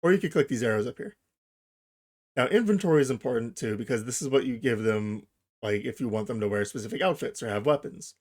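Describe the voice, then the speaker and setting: A man hosting a software tutorial, explaining each step informally and thinking aloud as he goes. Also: medium pitch, lively intonation, fast talker. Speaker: tutorial host. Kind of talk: casual software tutorial narration.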